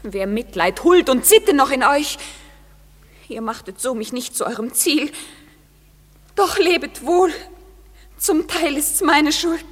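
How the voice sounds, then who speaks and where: A plaintive young woman in an old radio play. Her voice is high, and it rings in a reverberant hall. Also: expressive, slow, pauses often. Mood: wounded. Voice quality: plaintive, wounded. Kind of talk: classic radio play acting.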